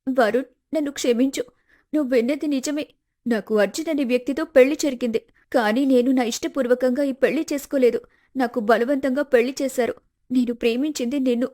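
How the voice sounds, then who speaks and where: A woman playing a high-voiced scheming young wife. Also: fairly even intonation, brisk, warm tone, lightly acted. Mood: tearful pleading. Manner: melodramatic, pleading. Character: scheming young wife faking tearful innocence. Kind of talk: animated moral-story dialogue.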